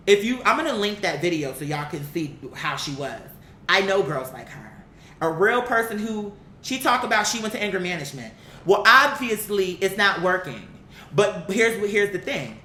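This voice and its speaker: Blunt sweary host ranting casually, sharp bright voice, medium pitch, roomy echo w a bit of rumble. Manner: blunt, profane, emphatic repetition. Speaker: online commentary host. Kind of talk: opinionated casual rant to viewers.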